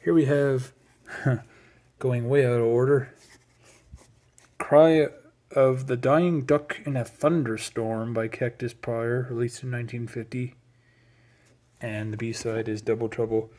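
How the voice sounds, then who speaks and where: Male collector, casual show-and-tell. Level, low-variation intonation, unscripted and rambling, with hesitant asides. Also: steady tone, takes it slowly, long pauses, darkish tone. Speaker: record collector presenting a collection.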